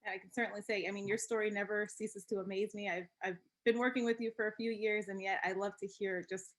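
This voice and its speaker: Brisk, polished woman moderator. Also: medium pitch, steady tone, poor audio. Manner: polished, welcoming, scripted. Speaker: host and moderator. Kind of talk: webinar moderating.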